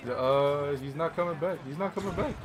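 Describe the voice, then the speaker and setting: A young man in a casual, profane fan chat about a movie, talking in an animated, slangy way. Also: fairly high pitch, fairly quick, crowd noise. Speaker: co-host of a movie review.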